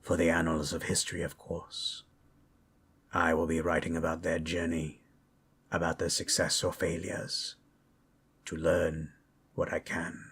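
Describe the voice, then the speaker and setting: A grave old sage from a fantasy audio drama, played by a man. The voice is deep, husky and slow, with formal, deliberate phrasing and a solemn, measured temper. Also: monotone, fairly bright tone, exaggerated voice. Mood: formal, pensive.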